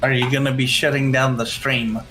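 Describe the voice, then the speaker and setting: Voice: medium pitch, flat delivery, brisk, music in the background. A livestream companion talking in casual chat.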